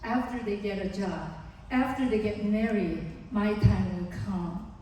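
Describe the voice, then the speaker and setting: A woman's voice: mid-range voice, measured pace, dark tone, slight background noise. A woman with a Korean accent giving an earnest, explanatory seminar talk to parents as a psychologist, acting out examples as she goes. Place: large conference hall. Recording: distant, echoey hall.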